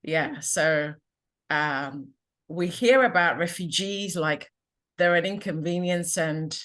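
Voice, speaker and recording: A woman's voice: lowish voice, takes it slowly, a bit bright. A middle-aged woman from Ghana, a confidence coach, telling her story earnestly and reflectively in an interview over a remote call.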